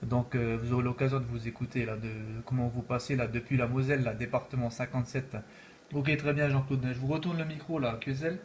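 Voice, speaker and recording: A man's voice: mid-range voice, steady tone, fairly quick, slight background noise. A man from France chatting casually as an amateur radio operator, in repetitive, informal operator jargon. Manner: repetitive, filler-heavy, informal.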